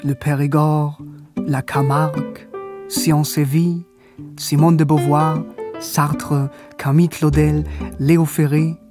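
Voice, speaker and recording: Radio drama lead: curious, bookish narrator remembering his teens, wry and measured, lightish male voice. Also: on the high side, flat delivery, over music. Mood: reflective, wry. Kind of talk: radio drama first-person narration and scenes.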